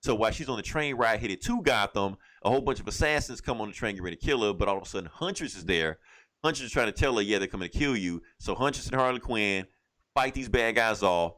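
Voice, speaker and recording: A man's voice: fairly low pitch, lively intonation, fast talker, a bit bright. A man co-hosting a podcast, casually retelling a story in a rambling, irreverent, slangy way with plenty of swearing. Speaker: podcast co-host. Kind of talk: casual recap of a comic book, profane banter.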